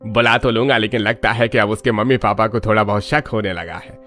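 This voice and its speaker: A man's voice: fairly low pitch, fairly even intonation, brisk, bright, forceful tone, soft background music. A man voicing a scheming, greedy middle-aged uncle in a family cartoon story. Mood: wary, scheming. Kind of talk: Hindi cartoon story acting.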